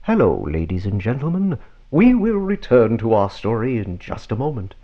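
Lively lo-fi radio announcer man. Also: mid-range voice, normal speed. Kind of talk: old-time radio announcing. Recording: dull lo-fi sound.

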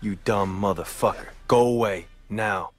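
A man acts out a proud restaurant owner in a game, full of contempt. His voice is on the low side, with music behind it. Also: measured pace, lightly acted. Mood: contemptuous. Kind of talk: video game cutscene acting.

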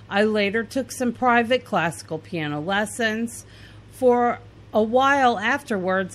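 Woman reading an interview aloud. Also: mid-range voice. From Indiana, US. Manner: plain, earnest reading with fillers and restarts. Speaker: podcast host.

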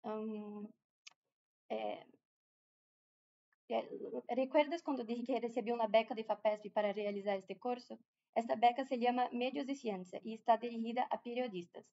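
A woman's voice: fairly high pitch, fairly even intonation, moderate pace, mellow, muffled recording. A Brazilian woman with a Portuguese accent giving a conference talk in a large room, careful and often restarting her words. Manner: careful, with frequent hesitations and restarts. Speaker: conference speaker. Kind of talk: conference presentation.

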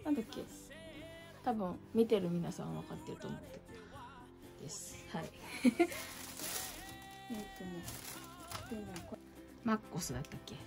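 A woman's voice: mid-range voice, sing-song, unhurried, vocal fry, long pauses, over music. Chatty middle-aged woman showing her plants. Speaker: succulent channel vlogger. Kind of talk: casual chat. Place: indoor entryway with a shelf.

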